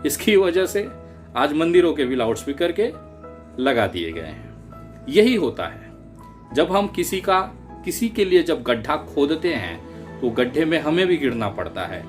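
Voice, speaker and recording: A man's voice: on the high side, sing-song, unhurried. A man giving political commentary straight to viewers, in an emphatic, indignant and rhetorical manner. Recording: music bed under the voice. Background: background music.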